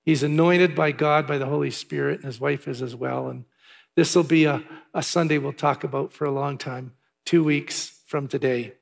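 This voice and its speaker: A pastor from Canada giving church announcements and a sermon in a chatty way, with asides and filler words. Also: male voice, on the high side, fairly even intonation, moderate pace, creaky. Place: large church hall. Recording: long hall reverb.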